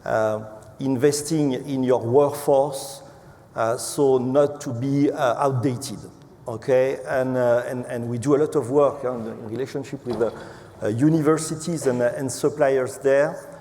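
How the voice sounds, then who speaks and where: A man's voice: mid-range voice, fairly even intonation, dark tone. French guy exec talking on a panel, hesitant, lots of restarts, echoey hall w noise behind. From France. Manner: halting, hesitant, repeats words. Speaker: company executive on a panel. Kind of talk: unscripted panel remarks. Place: large event hall.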